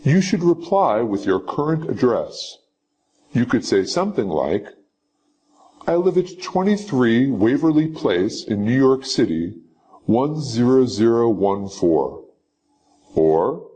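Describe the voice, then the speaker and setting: A man reading scripted sample answers for a citizenship interview in a slow, measured, instructive way. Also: medium pitch, sing-song, dark tone, slightly rough, rough recording. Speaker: teacher.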